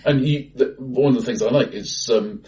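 A man co-hosting a casual film discussion, halting with many restarts and dry understated humour, recorded in a bright, echoey room. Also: medium pitch, recorded from a distance. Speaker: podcast co-host. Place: acoustically bright room, face to face. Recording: bright room, voices a bit off-mic.

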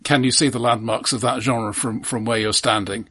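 A man co-hosting a casual film discussion in a measured, analytical way, often repeating words as he frames a point. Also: medium pitch, steady tone, sharp tone, slightly rough. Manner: measured, analytical, halting repetitions. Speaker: film podcast co-host.

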